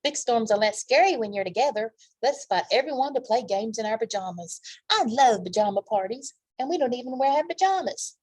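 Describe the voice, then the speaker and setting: A woman voicing excitable, eager animal neighbors from a children's storybook, with exclamatory delivery. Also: fairly high pitch, fairly quick, slightly rough, no echo, pitched above the performer's own voice. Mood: cheerful.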